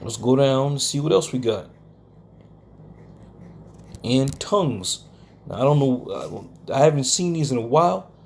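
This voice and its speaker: A man's voice: mid-range voice, expressive, unhurried, gravelly, long pauses, darkish tone, slight background noise. A man teaching scripture in a combative, scolding way, often checking in with "okay?" and using some coarse language. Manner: combative, scolds listeners, keeps asking "okay?". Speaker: Bible teacher. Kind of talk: home Bible study lecture.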